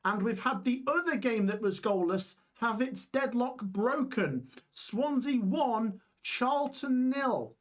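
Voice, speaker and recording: A young man hosting a live football watch-along stream, reading out scores and chatting with viewers while his voice gets hoarse. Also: very high voice, expressive, slow pace, few pauses. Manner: chatty, reads scores aloud, reacts to chat. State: voice going hoarse.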